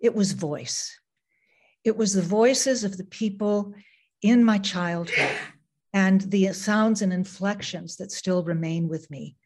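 A woman's voice: mid-range voice, takes it slowly, darkish tone. Woman author, native Greek speaker, reflective, on a video call, with lots of ums. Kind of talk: reading aloud, then talking about her writing.

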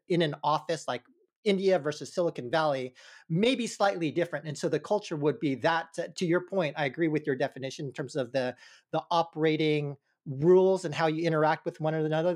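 A man hosting a podcast interview, laying out his ideas carefully with many hedging words. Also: fairly high pitch. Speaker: host.

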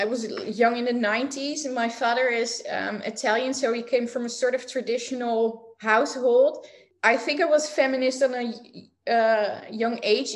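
A woman in her forties, a writing teacher talking casually as a guest, chatty with many fillers and light laughs. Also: on the high side. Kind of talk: casual interview chat.

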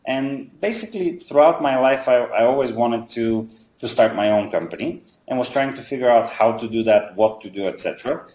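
A man in his thirties who grew up in Bulgaria, speaking at a middling pitch and explaining his ideas step by step. He pauses on fillers as he thinks. His voice bounces around a roomy, echoey space. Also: animated, darkish tone. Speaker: guest, software company founder. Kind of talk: casual interview answers.

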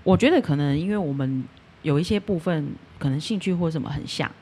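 A woman's voice: low-pitched, fairly quick, a little mellow, breathy edge. A woman with a Taiwanese accent talking casually and candidly as a podcast guest. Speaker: podcast guest, audio creator.

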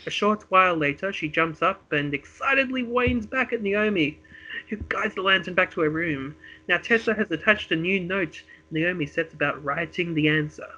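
A man's voice: sits high, normal speed, pushed and bright, muffled recording. A man reading a script aloud as narrator of a homemade radio play, with dramatic storytelling delivery. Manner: dramatic present-tense storytelling.

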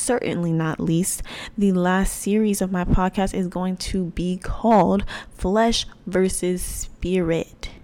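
Young woman podcaster in her 20s, chatty and animated, slangy, mid pitch. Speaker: podcast host. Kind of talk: casual faith podcast talk.

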